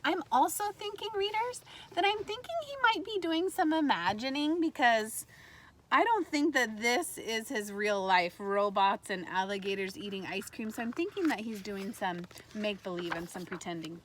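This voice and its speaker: A woman teacher reading a storybook aloud to kindergartners in an animated way, pausing to put questions to her listeners. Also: a little high. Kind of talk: read-aloud lesson for young children. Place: outdoors in a backyard tree fort among trees. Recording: faint outdoor ambient noise.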